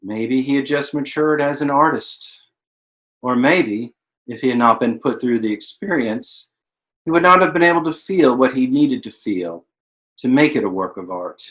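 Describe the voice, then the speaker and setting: A thoughtful, learned narrator, acted by a man speaks in a theatrical monologue. The voice is mid-range, with a measured, ruminative, explanatory delivery. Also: medium pitch, fairly bright tone, lightly acted. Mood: reflective. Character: thoughtful, learned narrator reflecting on the Hollywood blacklist. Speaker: stage actor in a play reading. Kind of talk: theatrical monologue in a staged reading.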